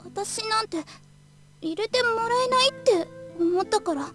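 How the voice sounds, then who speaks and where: A woman's voice: very high voice, a little fast, a bit bright, faint music behind. A Japanese actress playing a shy, earnest teenage girl in a visual novel. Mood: timid.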